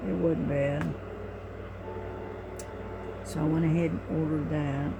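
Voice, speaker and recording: A middle-aged woman with a low voice, talking quietly and sleepily in a rambling way while diamond painting at home, recorded on a cell phone. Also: flat delivery, slow pace, gravelly, background noise. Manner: quiet, soft-spoken and rambling. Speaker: crafting vlogger.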